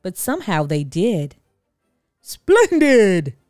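A woman's voice: mid-range voice, big pitch swings, measured pace, a little mellow, no room sound, clean studio sound, soft background music. A woman narrating a children's storybook expressively as a read-aloud.

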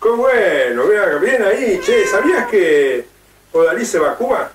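A man acting as a jovial Argentine host teasing his friend in a radio play. His voice is fairly high and swings up and down, with faint noise behind. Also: big pitch swings, moderate pace. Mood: playful. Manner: bantering, chuckly. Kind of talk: amateur radio drama acting.

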